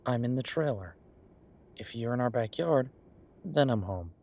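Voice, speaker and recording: A man playing an easygoing, devoted boyfriend who is a small-town chef, talking casually and haltingly in an intimate, reassuring roleplay audio. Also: mid-range voice, a little mellow, slightly rough. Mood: tender, reassuring. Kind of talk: intimate conversational roleplay acting.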